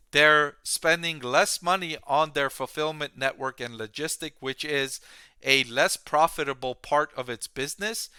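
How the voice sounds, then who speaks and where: A man hosting a stock analysis, explaining financial results to viewers plainly and deliberately. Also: mid-range voice, sharp tone, smooth, dry recording, studio quality.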